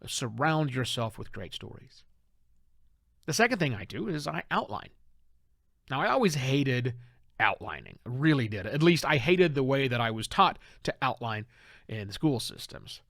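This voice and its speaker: A middle-aged man hosting a podcast, delivering a conversational advice monologue with an emphatic storyteller's delivery and dramatic pauses. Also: mid-range voice, very animated, creaky, fairly bright tone, no room sound, studio quality. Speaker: podcast host.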